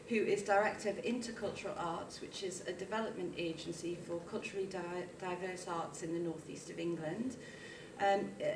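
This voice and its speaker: Woman chairing a panel, roomy distant sound. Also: mid-range voice. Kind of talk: introducing panel speakers at a public debate. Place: small seminar room, indoors. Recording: roomy, noisy, distant microphone.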